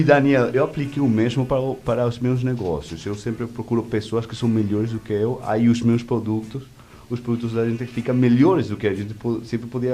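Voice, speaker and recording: A man with a Venezuelan accent and native Spanish, answering interview questions conversationally in halting speech, searching for words. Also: mid-range voice, dark tone. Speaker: interview guest, media executive.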